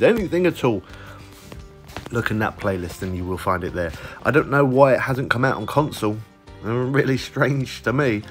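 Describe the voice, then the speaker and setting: A man giving a casual walkthrough of a fishing game's update, his voice croaky from lack of sleep, chatting in a rambling, self-correcting way as he searches the menus. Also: medium pitch. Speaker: gaming YouTuber. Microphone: phone recording mic.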